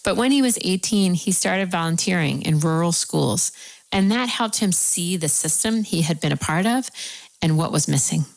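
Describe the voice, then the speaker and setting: Brief: female interview host, very low voice, playful and self-deprecating. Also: lively intonation, moderate pace, creaky, rough recording. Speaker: podcast host. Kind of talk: interview hosting.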